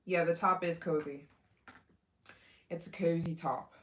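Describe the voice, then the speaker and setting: Woman livestreaming, slow easy chat, roomy echo, talks to chat and thanks folks. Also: on the low side, fairly even intonation. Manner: chatty, greets viewers and thanks donors; motivational, talks about risk taking. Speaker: livestream host. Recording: echoey room.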